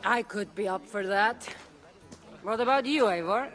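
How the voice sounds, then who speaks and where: Casting: confident ancient female warrior played by a woman, wry wit, unhurried pace, game cutscene with music behind. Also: mid-range voice, fairly bright tone, close to an everyday voice. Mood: wry, boastful. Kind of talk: video game cutscene acting.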